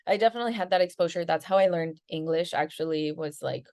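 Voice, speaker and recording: Woman, low-pitched, dry close sound, bubbly and laid-back, says "like" a lot. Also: quick pace, a little mellow, no room sound. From Venezuela. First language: Spanish. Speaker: guest, marketing agency founder. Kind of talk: casual interview chat.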